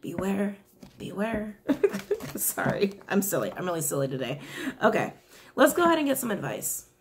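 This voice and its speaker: A young woman with a raspy, slightly worn voice reads tarot cards straight to the camera. She talks casually and chattily, often laughing and apologizing along the way. She is recorded in a small indoor room. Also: medium pitch, big pitch swings, moderate pace, noisy background. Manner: chatty, giggly, apologetic asides. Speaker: tarot reader.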